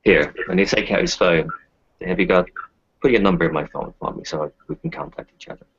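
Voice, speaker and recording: Casting: man as kindly, world-weary doctor for improvised roleplay; deep, husky, measured delivery, dry muffled recording. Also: fairly bright tone, dry recording, exaggerated voice. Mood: earnest. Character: weary, kindly male kinfolk doctor who lost his children. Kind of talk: tabletop roleplay, improvised in character.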